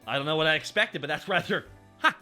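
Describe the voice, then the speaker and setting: A man's voice: a little high, fast, pushed and bright, soft background music. A man streaming as a VTuber, bantering casually with a co-host and slipping into silly accent impressions.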